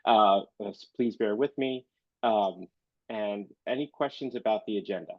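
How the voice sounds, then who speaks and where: A man's voice: fairly low pitch, normal speed, no echo. Stuffy-voiced man giving a talk. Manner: hesitant, many fillers. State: congested, recovering from illness. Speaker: librarian presenter. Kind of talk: online presentation. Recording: dull, dry video-call audio.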